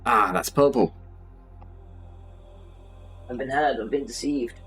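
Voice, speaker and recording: A man's voice: mid-range voice, slow pace, a bit bright, breathy edge, soft background music. A man with a Southern English accent chatting casually as a streamer while he paints, rambling and self-deprecating with lots of fillers. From Southern England.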